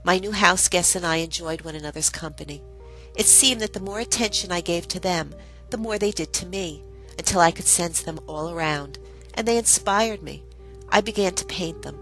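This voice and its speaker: A woman reading a first-person story as scripted narration, with deliberate, dramatic phrasing. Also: lowish voice, fairly bright tone, faint music behind, poor audio. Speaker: storyteller narrating own memoir.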